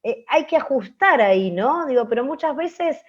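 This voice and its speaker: A woman's voice: high-pitched, animated, moderate pace. A woman with a Rioplatense Argentine accent explaining as a radio teacher in an open class, often checking in with short tag questions. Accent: Rioplatense Argentine Spanish. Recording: dull lo-fi audio.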